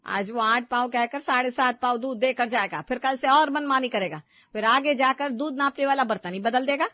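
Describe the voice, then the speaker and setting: A woman's voice: high-pitched, normal speed, pushed and bright, no echo. A woman voicing a strict, suspicious household matriarch in a family story, scolding and domineering. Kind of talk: animated Hindi family story dialogue.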